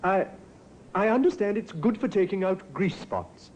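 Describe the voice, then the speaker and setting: A man's voice: high voice, lively intonation, moderate pace, darkish tone. An actor playing a polite, pliable and hesitant young clergyman in a 1950s radio drama. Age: young adult. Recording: muffled, noisy old radio recording.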